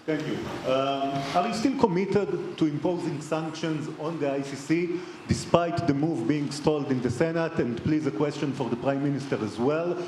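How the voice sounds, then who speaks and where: Brief: man, press reporter, measured and polite, echoey room with faint noise. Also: a little high, a little mellow. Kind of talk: asking a question at a press conference.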